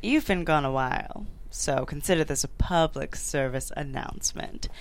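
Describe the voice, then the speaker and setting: A woman's voice: low voice, normal speed. A woman reading sassy, catty small-town young women in a live comedy-drama table read, with light room noise. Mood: sweetly menacing. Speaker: actor at a script table read.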